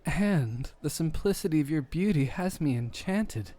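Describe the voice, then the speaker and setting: A man's voice: on the high side, animated, measured pace, mellow. A man playing a bold, smug warrior suitor in a romantic audio roleplay, speaking with courtly politeness and a taunting edge. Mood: courtly, smitten. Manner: polite and courtly, taunting toward his rival.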